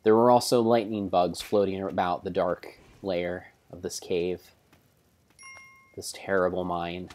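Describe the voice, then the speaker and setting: A man narrating video game play as a solemn, theatrical storybook tale, in measured phrases. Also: low-pitched, little pitch movement, darkish tone, a little hiss or hum. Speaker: streamer narrating own gameplay.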